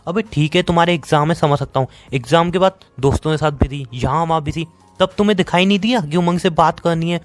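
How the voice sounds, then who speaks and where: Man acting a fast-talking, bitter young Indian boyfriend. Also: on the high side, soft background music, natural voice. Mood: irritated. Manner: sarcastic, dismissive, rapid-fire. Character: bitter, sarcastic young boyfriend fed up with his girlfriend. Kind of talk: acted phone-call romance drama.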